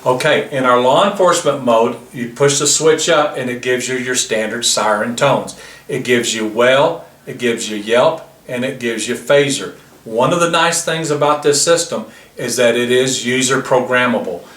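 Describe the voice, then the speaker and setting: A man's voice: mid-range voice, moderate pace, a bit bright. Man explaining gear, distant roomy mic. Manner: plain explanatory, walks through features step by step. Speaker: product demonstrator. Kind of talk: product demonstration.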